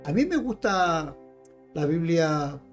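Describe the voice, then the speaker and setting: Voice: medium pitch, expressive, takes it slowly, soft background music. A preacher from Chile, teaching the Bible informally and emphatically, speaking straight to listeners and repeating phrases. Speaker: evangelical preacher.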